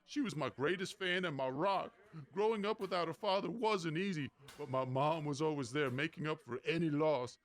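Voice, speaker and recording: Grieving young sports champ, male actor, lightly raised pitch, sincere and measured acceptance speech. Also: close to an everyday voice. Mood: bittersweet gratitude. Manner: earnest, measured, sentimental.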